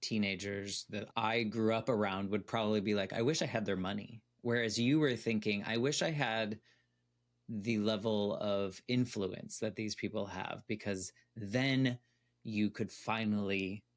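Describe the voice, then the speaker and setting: Guy hosting a podcast, lowish voice, easygoing encouraging questions. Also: fairly even intonation, a little slow. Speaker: podcast host. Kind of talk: podcast interview.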